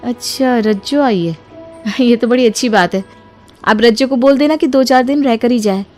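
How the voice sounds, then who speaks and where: A woman's voice: fairly high pitch, moderate pace, a little mellow, soft background music, natural voice. A woman voicing an exasperated young daughter-in-law in a story, with emphatic, dramatic delivery. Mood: polite.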